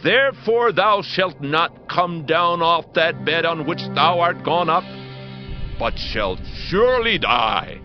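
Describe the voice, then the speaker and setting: A man playing a stern, commanding prophet in a dramatized Bible audiobook, declaiming slowly and solemnly. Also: on the high side, very animated. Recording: slightly dull audio with music behind. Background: music.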